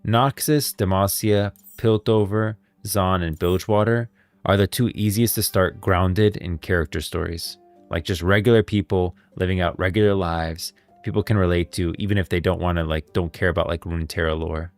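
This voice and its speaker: Deep-voiced man chatting on a stream. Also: fairly even intonation, normal speed, no room sound, soft background music. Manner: rambling, thinking aloud. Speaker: livestream host. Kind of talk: casual livestream chat about game lore.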